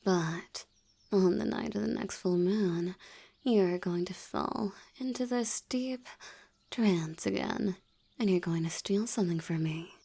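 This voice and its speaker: A woman voicing a seductive, commanding vampire hypnotist in an intimate audio roleplay, soft and breathy, often near a whisper, with a teasing, coaxing manner. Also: medium pitch. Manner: slow, coaxing, teasingly dominant.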